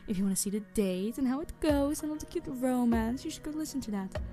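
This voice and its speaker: A woman's voice: fairly high pitch, animated, fast talker, mellow, faint music behind. A woman audio roleplay creator speaking to her listeners in a channel outro, thanking supporters.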